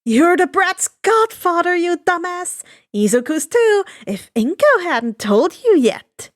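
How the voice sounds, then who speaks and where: A woman voicing a brash, foul-mouthed, domineering woman who argues loudly in a story reading. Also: very high-pitched, very animated, slow, voice pushed up, exaggerated voice. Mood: smug, bossy. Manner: shouting, swearing, domineering.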